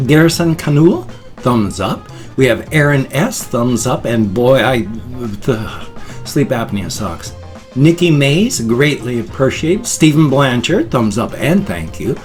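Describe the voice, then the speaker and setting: Middle-aged American male vlogger, unscripted and dorky, animated pitch, light music underneath. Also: slow. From United States. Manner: rambling, dorky. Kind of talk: casual unscripted vlog chat.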